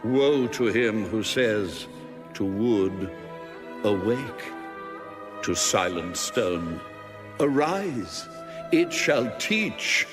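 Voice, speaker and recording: A man giving a solemn, dramatic scripture reading in a measured, weighty, declamatory manner. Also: on the low side, expressive. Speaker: audio Bible reader. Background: music under the reading.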